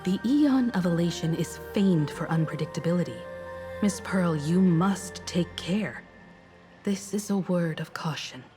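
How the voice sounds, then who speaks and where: A woman voicing a poised, cautious, mature traveler in a game, speaking in a calm, measured, guarded way. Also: fairly low pitch, faint music behind, lightly acted. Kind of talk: scripted game voice acting.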